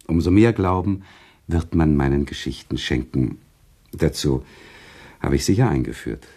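A genteel male storyteller, mellow, slightly husky, playful, theatrical phrasing, literary radio drama. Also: a bass voice, lively intonation, heavily acted. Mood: playfully insistent. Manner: rhetorical, deliberate, theatrical phrasing. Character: genteel male author-storyteller, playful, teasing, eager to tell. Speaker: radio play actor, author-narrator. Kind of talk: literary radio play dialogue.

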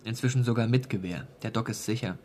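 An actor in a radio crime drama playing a matter-of-fact police detective with a dry, persistent manner. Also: male voice, lowish voice, fast, darkish tone, slight background noise, close to an everyday voice.

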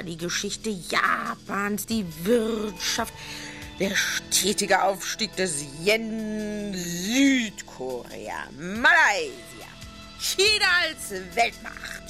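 An actress playing a distraught, suspicious wife in a German radio drama, speaking in a halting, agitated way. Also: female voice, medium pitch, bright, forceful tone, exaggerated voice. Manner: halting, agitated, emotional delivery. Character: distraught, bitter, suspicious wife confiding her fears.

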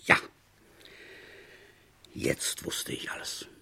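An actor playing a wry middle-aged village police inspector, narrating a radio drama in a ruminative, dramatic way. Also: male voice, extremely slow, a little hiss or hum, full character voice. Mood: brooding. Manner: ruminative, dramatic, swinging from emphatic to hushed. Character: wry village police inspector recounting an old murder case.

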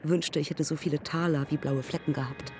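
An actress in a radio play playing a young pioneer woman aviator who recalls her crashes in terse, measured fragments. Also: sits low, fairly even intonation, a little mellow, soft background music. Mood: terse, haunted. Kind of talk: literary radio drama acting.

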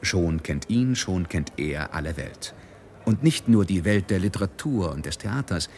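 A man narrating a literary radio drama with expressive storytelling and deliberate phrasing. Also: sits low, a little hiss or hum.

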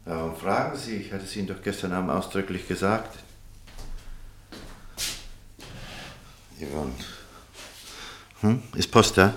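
A man plays a clinic surgeon in a radio drama, his voice on the low side. He is formal and brusque, used to command. A troubled conscience makes him defensive and insistent. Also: moderate pace, background noise, lightly acted. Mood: brusque, probing. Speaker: actor. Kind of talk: German radio play acting.

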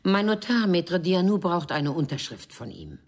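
An actress playing a haughty, disdainful middle-aged Parisian madame in a radio crime drama, with a husky, breathy voice and theatrical delivery. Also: female voice, on the low side, sing-song, moderate pace. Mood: matter-of-fact. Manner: haughty, theatrical, swooping between indignation and hushed tones. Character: haughty mature Parisian bourgeois madame, disdainful, betrayed wife.

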